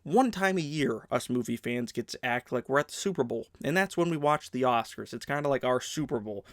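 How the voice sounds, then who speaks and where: A man's voice: mid-range voice, lively intonation, brisk, no echo, clean studio sound. A man hosting a casual movie news show, chatty and opinionated, sounding congested from a sinus infection. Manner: chatty, opinionated, many fillers and restarts. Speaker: host.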